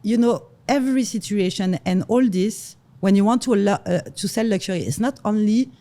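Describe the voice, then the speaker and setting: Mellow-voiced woman telling lively stories. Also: mid-range voice, moderate pace. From Morocco. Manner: animated, acts out quoted dialogue, laughs often. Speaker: luxury real estate broker. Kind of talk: casual interview storytelling.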